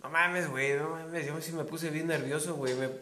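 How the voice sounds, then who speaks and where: A man's voice: a little high, fairly even intonation, normal speed, bright, forceful tone. A young man with a Mexican accent telling stories in a joking, slangy way. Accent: Mexican Spanish. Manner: joking, slangy, laughs often. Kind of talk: casual storytelling chat. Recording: echoey room with faint noise.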